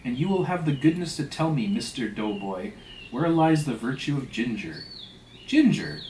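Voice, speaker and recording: A man's voice: medium pitch, sing-song, normal speed, reverberant, recorded from a distance, slight background noise, close to an everyday voice, poor audio. A man reading a storybook sea tale as an indignant, sarcastic ship's mate who scolds in emphatic, mock-polite rhetorical questions. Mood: sarcastic outrage. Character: indignant, sarcastic male whaling second mate, suspicious and blustering. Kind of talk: dramatic reading of a classic sea novel.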